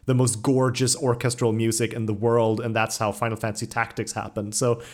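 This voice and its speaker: A man's voice: mid-range voice, flat delivery, fairly quick. Man hosting a casual, eager interview. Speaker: podcast host interviewing a composer. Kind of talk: casual enthusiastic interview chat.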